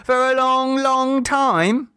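Man putting on a high, pitiless child. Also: steady tone, takes it slowly, pushed and bright, clean tone, dry recording, clean studio sound, full character voice. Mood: pitiless, demanding. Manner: solemn, pitiless, demanding. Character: stern, unforgiving children with inexorable cold logic. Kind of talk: dramatised storybook reading.

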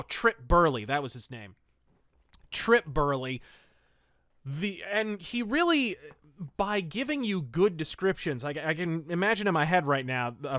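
Guy doing a podcast review, voice a bit on the high side, really dry sound, chatty and opinionated. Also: a bit bright, clear, resonant tone, no room sound. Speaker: podcast host reviewing pulp fiction. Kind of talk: casual review commentary.

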